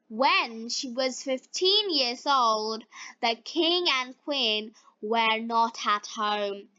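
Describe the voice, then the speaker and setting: A woman narrating a scripted fairy tale for English beginners, phrasing it deliberately in short, separated chunks. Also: fairly high pitch, pushed and bright, no room sound, lo-fi sound. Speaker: storyteller for English learners.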